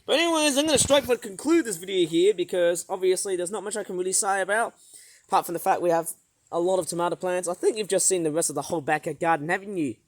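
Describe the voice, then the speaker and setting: A vlogger with a hoarse, sore throat, narrating a casual outdoor tour of a backyard garden in a rambling, excitable way, filming on a tablet. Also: mid-range voice, fast, dry recording. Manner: rambling, filler-heavy, excitable.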